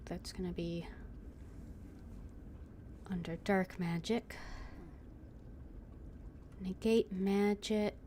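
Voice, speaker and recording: A woman streaming a game, thinking aloud in an unhurried, casual way. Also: mid-range voice, steady tone, dark tone, a little hiss or hum. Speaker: gaming streamer. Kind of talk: casual gameplay commentary.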